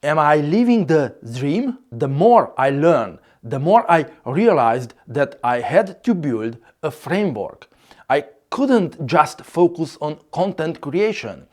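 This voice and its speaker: Slow-speaking man with a Hungarian accent. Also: fairly high pitch. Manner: measured, slightly non-native phrasing. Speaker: YouTuber. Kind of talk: scripted vlog narration.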